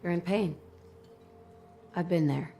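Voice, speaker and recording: An actress voicing a calm, kindly woman hero in a video game, speaking gently and reasonably. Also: lowish voice, slow pace, creaky, a little hiss or hum. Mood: gentle.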